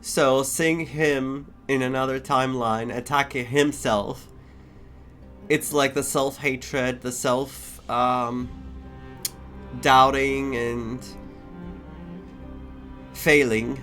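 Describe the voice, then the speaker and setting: A man's voice: mid-range voice, flat delivery, very slow, pauses often, fairly bright tone. A man doing playthrough commentary as a game streamer, reacting and reading along. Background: game music.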